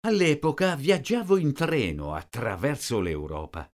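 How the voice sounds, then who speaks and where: A man slowly reading out short sentences, each one twice, for a language lesson. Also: medium pitch, lively intonation. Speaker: language teacher. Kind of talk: slow repeated phrase reading.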